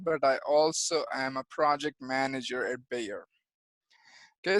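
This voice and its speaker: A man in his thirties from India talks to schoolchildren over a video call, his voice a little raised in pitch. The sound is close and dry, with no room echo at all. He explains slowly and simply, stopping and restarting often as he looks for easy examples. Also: fairly bright tone. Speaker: guest engineer and project manager. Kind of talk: presentation for young children.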